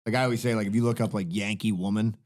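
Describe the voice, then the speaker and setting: A man's voice: on the low side, fairly even intonation, racing, a little mellow. A man with a Boston accent co-hosting a podcast, agreeing and chiming in during casual chat. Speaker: podcast co-host.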